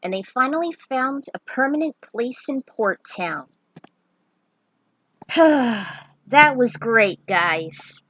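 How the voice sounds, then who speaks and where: A woman's voice: on the high side, animated, slow, fairly bright tone, highs cut off. A woman who writes fan fiction, reading her own story aloud in an earnest, amateur way with small slips, then chatting casually to her readers.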